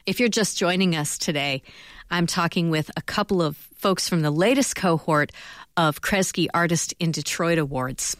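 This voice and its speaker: A woman's voice: sits low, dry recording, clean studio sound. An American woman hosting a radio interview, introducing guests and putting questions to them in broadcast style. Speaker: radio host.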